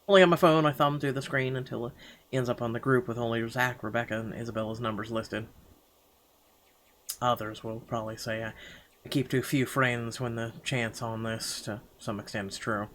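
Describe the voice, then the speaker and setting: A man's voice: mid-range voice, steady tone, normal speed, a bit bright. A man reads first-person story text from a game aloud. His voice sits in a medium register, with a dramatic storytelling delivery that halts and stumbles at times. Faint noise runs behind him.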